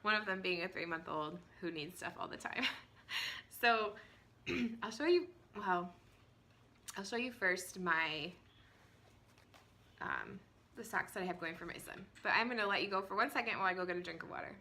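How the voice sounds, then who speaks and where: A woman in her thirties hosting a knitting podcast from home, chatting casually with many ums and small laughs, her voice hoarse from a cold. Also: lowish voice, lively intonation, normal speed, pauses often, sharp tone. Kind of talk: casual chat to camera. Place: at home, indoors.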